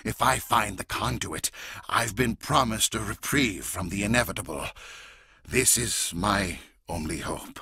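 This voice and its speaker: A man voicing a menacing, fanatical alien warlord for a video game, gravelly and raspy, with a grandiose, deliberate, intense delivery. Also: on the low side, sharp tone, dry recording, heavily acted. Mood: intense, persuasive. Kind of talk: dramatic video game voice acting.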